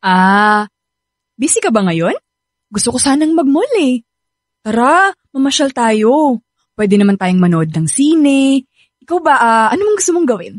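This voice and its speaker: Young girlfriend, Filipino accent, high pitch, affectionate, expressive, radio drama. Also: unhurried, pauses often, no echo, studio quality. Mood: bright, coaxing. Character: young woman, affectionate, playful, easily hurt girlfriend.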